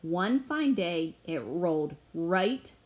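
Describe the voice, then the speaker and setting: Middle-aged lady doing a kids story read-aloud, takes it real slow, expressive and deliberate. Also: lowish voice, echoey room, dull audio. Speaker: children's read-aloud presenter. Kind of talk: expressive picture-book read-aloud for children.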